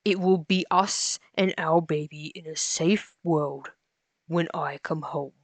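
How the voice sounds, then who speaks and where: A woman's voice: low-pitched, slow, dry recording. A woman playing a tender, resolute male monster in an earnest, solemn amateur audio romance drama. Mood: tender, reassuring.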